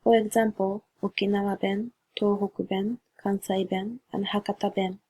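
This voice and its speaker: A woman's voice: mid-range voice, flat delivery, dark tone, slightly rough. Japanese-accented woman reading a presentation. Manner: careful, deliberate reading with learner's English. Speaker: student presenter. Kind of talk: read class presentation.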